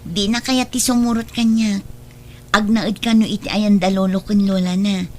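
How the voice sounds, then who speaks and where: Babai nga agak-akem iti napudno nga anak a babai, adda nakapuy nga ugong. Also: female voice, medium pitch, steady tone, normal speed, darkish tone, slight background noise, natural voice. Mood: earnest. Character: trusting, devoted adult daughter and market vendor in love. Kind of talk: radio drama dialogue.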